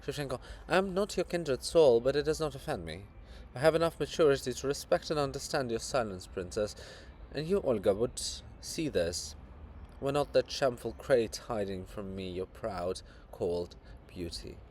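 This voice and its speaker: A man's voice: medium pitch, expressive, moderate pace, a little mellow, close to an everyday voice. Guy doing a sly poet monument in a stage farce, grand emphatic talk, coaxing, slight background noise. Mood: knowing, gentle. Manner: theatrical, emphatic, swooping.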